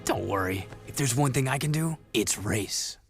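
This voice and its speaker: Young hero: mid-pitched, terse, dry defiance, game dialogue over loud music. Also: male voice, animated, moderate pace, gravelly. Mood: confident. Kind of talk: animated video game voice acting.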